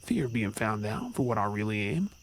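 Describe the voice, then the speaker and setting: A man's voice: on the low side, very animated, fairly quick. A man playing an earnest, brooding werewolf, weary of hiding, in a supernatural ASMR audio drama. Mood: anguished. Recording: faint noise with chirping night insects.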